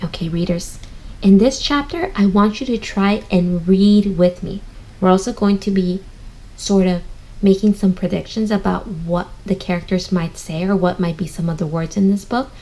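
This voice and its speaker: A woman leads a children's reading lesson as a teacher. Her mid-pitched voice has noticeable vocal fry. She speaks straight to her young listeners and reasons out loud as she instructs. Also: moderate pace, low-quality recording. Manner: instructive, addresses listeners directly, thinks aloud.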